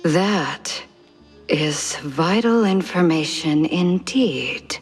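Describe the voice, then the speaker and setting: An actress playing a poised, shrewd woman, measured and coolly polite, in a noir cartoon game, with long reverb. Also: low voice, expressive, soft background music, exaggerated voice. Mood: coolly curious.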